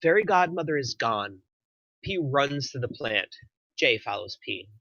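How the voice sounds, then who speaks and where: A man's voice: mid-range voice, expressive, measured pace, bright, forceful tone, dry recording, highs cut off. A man reading screenplay stage directions aloud as the narrator of a table read.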